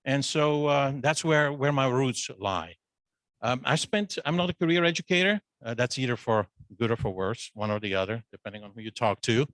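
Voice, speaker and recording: A man's voice: mid-range voice, normal speed, a bit bright, no room sound, low-quality recording. Middle-aged Belgian man, Flemish accent. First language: Dutch. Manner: loose and conversational, restarts with fillers. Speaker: business school dean. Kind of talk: introductory presentation at a meeting. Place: meeting with a Zoom link. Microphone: handheld microphone.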